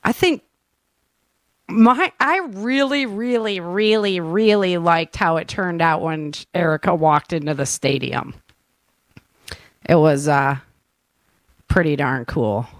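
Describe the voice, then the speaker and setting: A woman's voice: lowish voice, slow pace, long pauses, no room sound, clean studio sound. A woman co-hosting a casual online chat, speaking informally and enthusiastically with plenty of slang. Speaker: co-host and audio drama producer. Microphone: online call.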